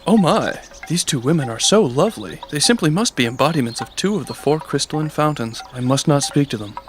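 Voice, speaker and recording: A man playing a gentle, humble young shepherd in an audio storybook drama, speaking politely and earnestly. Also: fairly high pitch, lively intonation, fairly quick, faint music behind, natural voice. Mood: gentle, awed.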